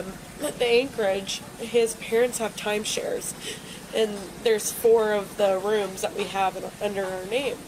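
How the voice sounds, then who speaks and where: A woman in her twenties, tipsy and upset, rambling and swearing to a police officer. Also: mid-range voice. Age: 20s. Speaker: bar patron in a police stop. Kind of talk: emotional rambling talk. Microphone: police body camera. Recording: noisy body camera audio.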